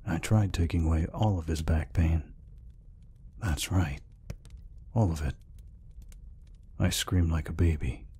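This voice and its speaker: A man voicing a gentle, peaceful orc healer in an ASMR roleplay, speaking softly and reassuringly without hurry. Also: full character voice. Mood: fond, reminiscing. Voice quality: deep, husky, breathy. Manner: soft, unhurried, reassuring. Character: gentle, peaceful male orc healer, soft-hearted caretaker.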